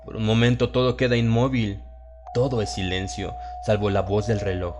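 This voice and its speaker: A man with a Latin American Spanish accent narrating a horror story in a solemn, theatrical manner with dramatic pauses. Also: lowish voice, level tone. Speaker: audiobook narrator. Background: music and sound effects.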